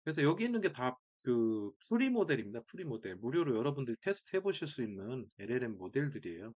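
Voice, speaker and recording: A man's voice: mid-range voice, very animated, fast, a little mellow. A man presenting an informal screen-share tutorial, thinking aloud in short fragments and repeating key words, recorded with dull sound. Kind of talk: informal screen-share demo, talking through steps.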